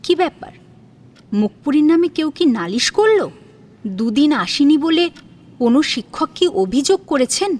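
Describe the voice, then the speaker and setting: A woman's voice: sits high, sing-song, a little slow, long pauses, a little mellow. A woman narrating a scripted audio story in a dramatic storytelling style.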